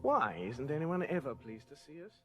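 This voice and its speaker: A polite, anxious English everyman in a sci-fi radio comedy. He sounds querulous and puzzled, in a fairly high voice with very wide swings of pitch. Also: very animated, normal speed, over music. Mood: puzzled, uneasy.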